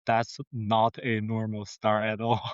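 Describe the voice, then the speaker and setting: A young man with a Mandarin Chinese accent recounts his story earnestly, pausing and restarting as he finds his English words. His voice is smooth and clear, recorded close and dry with a slightly dull, lo-fi tone. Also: fairly low pitch, monotone, dry recording. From China. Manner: earnest, halting, frequent restarts. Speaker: podcast guest. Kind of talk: personal storytelling.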